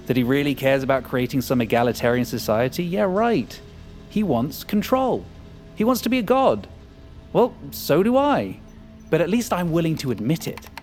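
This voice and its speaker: A man's voice: a little high, sing-song, moderate pace, darkish tone. An arrogant male hacker who craves power. He talks with smug, sardonic confidence and open cynicism, as in a fiction podcast. Music plays under his voice. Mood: bitter, contemptuous. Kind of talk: audio fiction acting.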